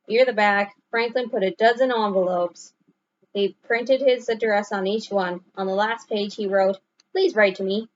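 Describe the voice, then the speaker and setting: A woman narrating a children's story aloud in an expressive storytelling manner. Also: mid-range voice, dull audio. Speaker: storybook reader.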